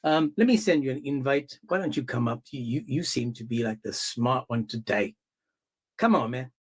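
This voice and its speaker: A man's voice: mid-range voice, animated, moderate pace, husky. A man hosting a heated live-stream debate, mocking and confrontational, often talking over his guest.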